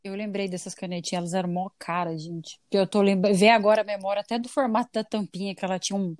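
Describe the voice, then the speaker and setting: A woman's voice: medium pitch, steady tone, fast talker, a little mellow, dry recording. A Brazilian woman in her thirties with a mixed Brazilian accent, hosting a casual podcast chat with playful asides. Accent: mixed Brazilian Portuguese. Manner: chatty, laughing, playful asides. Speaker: podcast host.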